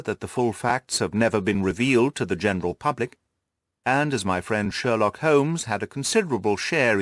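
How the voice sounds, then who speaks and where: A man reading literary first-person narration for an audiobook in a measured, formal storytelling manner. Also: fairly low pitch, fairly bright tone, no echo, studio quality.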